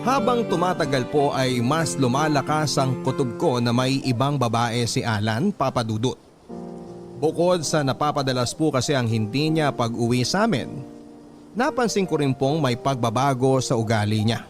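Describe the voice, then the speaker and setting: A man with a Filipino accent narrating a first-person story as a radio host reading a letter, in a polite, measured way. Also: medium pitch, expressive. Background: music.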